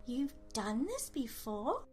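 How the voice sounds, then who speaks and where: Animated little girl, voiced by a woman: high voice, lively intonation, innocent, matter-of-fact and unfazed. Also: slow, breathy edge, soft background music, heavily acted. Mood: innocently frank. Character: pale, calm, frank little girl with bunny.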